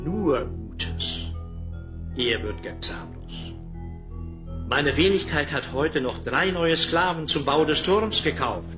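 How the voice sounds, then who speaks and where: Langsamen, unterwürfigen Sklavenaufseher. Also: male voice, a little high. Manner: obsequious, ceremonious, measured. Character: servile overseer of slaves, fawning underling to a sorcerer. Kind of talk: fantasy audio drama acting. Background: music.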